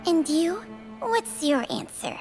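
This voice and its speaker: A woman's voice: extremely high pitch, big pitch swings, takes it slowly, music in the background, exaggerated voice. A woman playing an ancient female snake spirit in a video game, with a world-weary, wry, drawling delivery. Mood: weary, resigned. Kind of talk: scripted video game acting.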